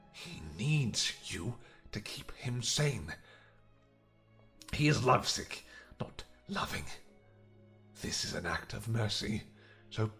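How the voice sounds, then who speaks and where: Man as a slow, menacing vampire assassin. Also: medium pitch, fairly bright tone, full character voice. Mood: bitter, insistent. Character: cold, pleading male vampire conspirator turned assassin. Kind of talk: fantasy audio roleplay.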